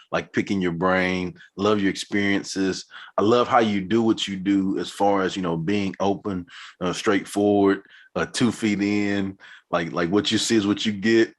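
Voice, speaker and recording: A man hosting an interview, talking in a casual, enthusiastic and affirming way and laughing easily. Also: low voice. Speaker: podcast host.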